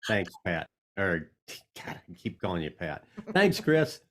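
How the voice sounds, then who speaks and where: A man hosting an online storytelling session, moderating with brisk, informal directions over a video call. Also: deep voice, very animated, a bit bright. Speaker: host. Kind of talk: moderating a workshop.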